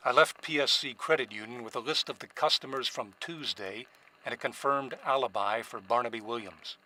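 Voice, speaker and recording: An actor playing a cool, curt private investigator who talks and narrates in a businesslike 1940s radio noir style. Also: male voice, medium pitch, fairly quick, pushed and bright, close to an everyday voice, poor audio. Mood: cool, narrating. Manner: clipped, businesslike, unruffled.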